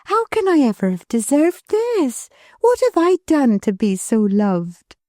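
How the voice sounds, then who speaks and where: A woman voicing a gentle, humble, kind-hearted young woman who speaks in a heartfelt way. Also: sits high, sing-song, measured pace, dry recording, clean studio sound, voice pushed up. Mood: moved.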